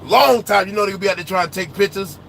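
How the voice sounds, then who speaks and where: A man speaking with a high, bright voice rants loudly from inside his truck cab. His talk is slangy and animated, and he often raises his voice. A faint hum of road noise sits behind him. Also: very fast, creaky. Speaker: truck driver vlogger. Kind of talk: casual ranting chat while driving.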